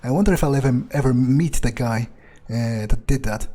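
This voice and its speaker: A man's voice: mid-range voice, moderate pace, dark tone. A man improvising in-character video game commentary, thinking aloud in a musing, hesitant way and swearing freely. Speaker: let's player role-playing in character.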